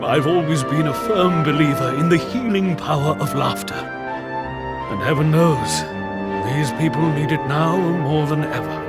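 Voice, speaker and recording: Man acting a high-voiced, florid circus ringmaster. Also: unhurried, lightly acted. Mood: sincere, reflective. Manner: grandiose, florid showman's delivery. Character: portly, theatrical, warm-hearted male circus ringmaster. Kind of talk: video game cutscene acting. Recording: loud music underneath. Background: loud music.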